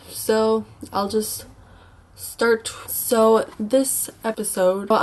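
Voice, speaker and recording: A young woman with an American accent talks in a congested, slightly sick voice at an average pitch. She gives casual advice like a vlogger. Her delivery is informal and rambling, with some hesitation. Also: darkish tone. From United States. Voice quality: stuffy, congested nose. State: mildly sick with a stuffy nose.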